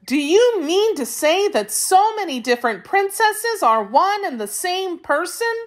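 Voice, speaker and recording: Storybook young girl, played by a woman: very high, kind, curious, sincere. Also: sing-song, moderate pace, a bit bright, exaggerated voice. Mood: astonished. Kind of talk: children's storybook character voice.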